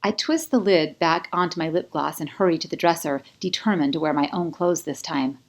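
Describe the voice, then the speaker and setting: A woman reading first-person scripted audiobook narration in an expressive, confiding manner. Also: low-pitched, brisk. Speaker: author narrating own audiobook.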